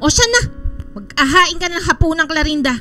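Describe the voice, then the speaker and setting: A woman's voice: very high-pitched, lively intonation, a little fast, pushed and bright, soft background music, exaggerated voice. An actress playing a bitter, middle-aged wife and mother in a radio drama, nagging and sarcastic. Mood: irritated.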